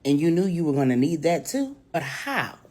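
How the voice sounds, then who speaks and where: A woman's voice: low voice, sing-song, normal speed, darkish tone. A woman storyteller playing a stubborn, skeptical criminal man with an incredulous, challenging manner. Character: defiant, skeptical, wounded criminal man on the run.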